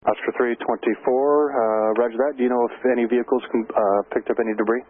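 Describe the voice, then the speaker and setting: A man working as an airport tower controller, speaking in a halting, matter-of-fact way over the radio. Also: mid-range voice, steady tone. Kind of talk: radio exchange, semi-formal. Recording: narrow crackly air traffic radio.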